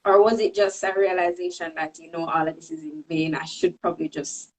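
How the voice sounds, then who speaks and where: A woman hosting a live stream interview, asking slow, thoughtful questions. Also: fairly low pitch, rough recording. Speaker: host interviewer.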